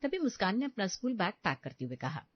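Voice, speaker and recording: A woman narrates a scripted domestic tale as a storyteller. Her voice sits at an ordinary pitch, recorded very dry with no room sound, and the audio is slightly dull and lo-fi. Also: big pitch swings, fast, breathy edge, no echo.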